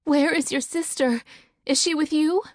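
A woman's voice: a little high, moderate pace, darkish tone, breathy edge, no room sound, studio quality, pitched above the performer's own voice. A woman voicing a panicked mother who pleads as she searches for her missing daughter. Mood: frantic.

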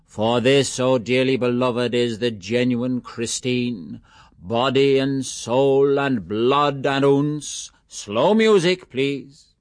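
A man's voice: medium pitch, no echo, studio quality, pitched above the performer's own voice, natural voice. A man voicing a mocking, theatrical young man who drags out his words in mock-grand style. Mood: mock-solemn. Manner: exaggerated, drawn-out mock-grand delivery.

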